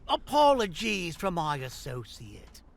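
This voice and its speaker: An actor playing a callous, quick-tempered looter leader with theatrical mock courtesy in a horror audio drama. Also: male voice, fairly high pitch, wildly swooping pitch, gravelly, a little hiss or hum, heavily acted. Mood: mock-friendly.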